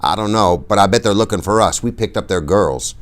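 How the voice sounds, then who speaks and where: A man voicing a frightened teenage gang boy who speaks low and steady. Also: a little fast, lightly acted. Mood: quiet, shaken. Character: scared quiet teen greaser boy.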